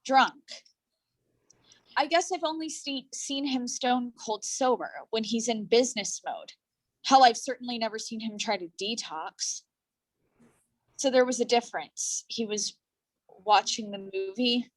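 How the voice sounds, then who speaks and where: A woman's voice: a little high, unhurried, low-quality recording. A woman acting as a guarded, stubborn young actress in a staged play reading, with a defensive, blunt manner. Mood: uneasy.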